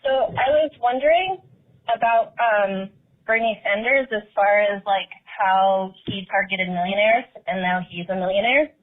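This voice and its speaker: A woman's voice: medium pitch, unhurried. A female caller leaving a casual, opinionated voicemail for a talk show. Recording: phone-line voicemail.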